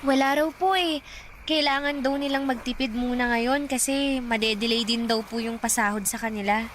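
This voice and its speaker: A woman plays a timid, dutiful teenage Filipina granddaughter in a radio drama. Her voice is high and her delivery polite and apologetic. There is faint noise and ambience behind her. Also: steady tone, moderate pace, a bit bright, lightly acted. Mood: hesitant, downcast. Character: meek, dutiful fifteen-year-old provincial granddaughter. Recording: some background noise and ambience.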